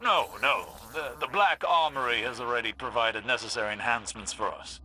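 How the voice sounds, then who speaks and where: A man's voice: mid-range voice, a little fast, pushed and bright, rough recording. A man playing a guarded older warlock scholar in a video game, brusque, assured and dismissive. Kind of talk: dramatic video game acting.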